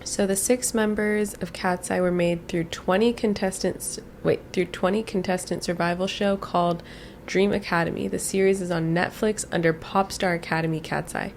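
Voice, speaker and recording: A woman's voice: lowish voice, fairly even intonation, normal speed, a little hiss or hum. A woman co-hosting a reaction video, chatty and agreeable as she gives her opinions. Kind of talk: casual reaction chat.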